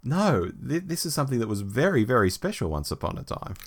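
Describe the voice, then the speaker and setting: A man's voice: medium pitch, big pitch swings, fast, slightly rough. A man co-hosting a fan podcast, talking casually with opinionated, emphatic phrasing. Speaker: podcast co-host.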